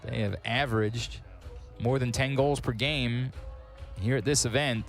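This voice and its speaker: A man's voice: medium pitch, faint music behind, some chatter behind. A man calling live play-by-play on a sports broadcast, with wry asides between plays. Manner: quick, excitable calls on big plays. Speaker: sports commentator.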